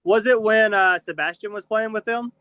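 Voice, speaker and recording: A man's voice: high voice, normal speed, pushed and bright, clear, resonant tone, no room sound, studio quality. A man from Georgia, a band member answering interview questions with many likes and ums. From Lawrenceville, Georgia. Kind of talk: casual interview chat.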